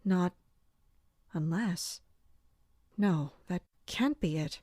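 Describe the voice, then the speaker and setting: A woman playing a dutiful, serious captain of an elite armored guard in an audio drama, speaking in a measured way. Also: on the low side, mellow, dry recording, clean studio sound. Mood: uncertain, reflective. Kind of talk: audio drama acting with first-person narration.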